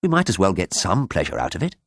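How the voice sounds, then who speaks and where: A practical, unbothered storybook man, voiced by a male reader, cheerful and matter-of-fact. His voice moves about in lively swings, in a dry, close recording. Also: low voice, quick pace, no room sound, full character voice. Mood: cheerfully practical.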